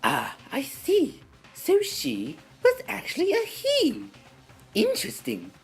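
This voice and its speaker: Woman voicing a very high, creaky dramatic teen. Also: wildly swooping pitch, slow, faint music behind, full character voice. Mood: intrigued, dramatic. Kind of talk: anime game fan dub.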